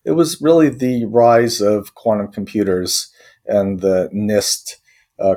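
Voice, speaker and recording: A man from Croatia, a tech company founder, answering interview questions thoughtfully with many pauses and restarts. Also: sits low, a little mellow. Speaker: company co-founder and technology chief.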